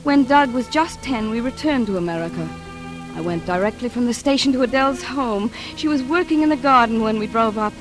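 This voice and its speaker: A woman's voice: fairly high pitch, brisk, close to an everyday voice, low-quality recording. An actress in a 1940s radio drama playing a haunted, wistful woman, dreamy and hesitant as she confides her memories. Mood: wistful, recounting. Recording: vintage radio recording with music behind.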